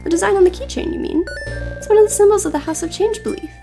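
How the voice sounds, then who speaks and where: A woman voicing a gentle, cheerful young housemaiden in a video game, explaining things kindly and earnestly. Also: very high voice, quick pace, gravelly, over music, voice pushed up.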